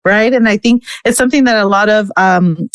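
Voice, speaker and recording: A woman's voice: medium pitch, brisk, clean tone, dry recording. A woman born in the United States whose first language is Spanish, a podcast guest candidly sharing a personal story with frequent "you know" and "right". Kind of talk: casual interview storytelling.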